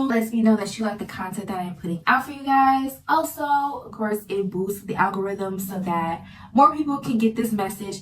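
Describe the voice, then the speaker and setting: A woman in her early twenties giving casual advice in a girly, familiar chit-chat style, like a vlogger talking to her viewers. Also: mid-range voice. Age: early 20s. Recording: distant mic with light background noise.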